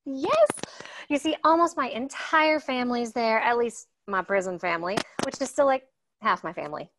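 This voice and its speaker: Stubborn, gushy fiancée actress, comedy table read, slightly high female voice, faint background noise. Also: lively intonation, normal speed. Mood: gushing. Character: gushing, stubborn bride-to-be with jailbird family.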